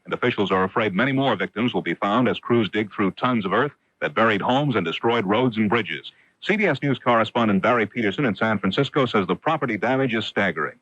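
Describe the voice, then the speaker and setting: A man's voice: fairly low pitch, animated, fast, fairly bright tone, no echo, dull audio. An American man reading a scripted newscast as a radio news anchor on an early 1980s broadcast.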